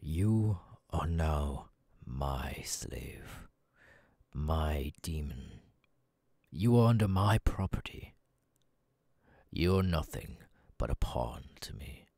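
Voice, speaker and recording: A man voicing a cold, domineering demon lord in an ASMR audio roleplay, commanding and contemptuous, dropping into breathy murmurs. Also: very deep voice, expressive, very slow, long pauses, darkish tone, dry recording, heavily acted. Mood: menacing, domineering.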